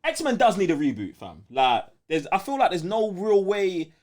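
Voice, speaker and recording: A lively male podcast host with a London accent. His voice sits a little high and swings freely in pitch. He chats casually and leans on slang. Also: moderate pace. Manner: slangy, animated. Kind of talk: casual live podcast banter.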